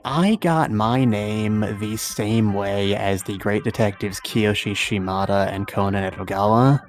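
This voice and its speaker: A man chatting casually as the guest in a livestream interview, wordy and self-deprecating, often halting mid-phrase. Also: on the low side.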